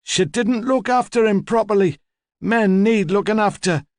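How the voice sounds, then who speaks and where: Man voicing a hoarse, high, imperious old woman. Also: moderate pace, darkish tone, dry recording, clean studio sound, natural voice. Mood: curt, disapproving. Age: older. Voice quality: hoarse, rasping. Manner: demanding, curt, imperious. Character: sharp, haughty, opinionated old woman, large and deaf.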